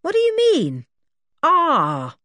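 A woman's voice: mid-range voice, wildly swooping pitch, moderate pace, husky, no echo, pitched above the performer's own voice. A woman voicing an outspoken, cheeky young boy in a storybook. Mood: excited.

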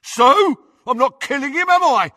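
A man's voice: on the low side, lively intonation, slow pace, breathy edge, no echo, clean studio sound, long reverb tail, heavily acted. Man acting a belligerent, thuggish teenage boy bully. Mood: aggressive yelling. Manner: thuggish, uneducated grammar, belligerent. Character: huge, dim, soft-spoken then ferocious teenage boy bully.

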